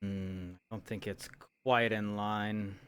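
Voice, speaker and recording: A man improvising in character in a fantasy roleplay game, speaking in a measured way with formal address. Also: fairly low pitch, level tone, clear, resonant tone. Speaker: roleplayer playing a guildmaster in a fantasy town.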